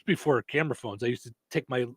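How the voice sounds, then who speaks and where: A man's voice: mid-range voice, fast, husky, no echo. A man hosting a radio show in casual chat, a comedian telling rambling stories full of fillers and restarts. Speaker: stand-up comedian.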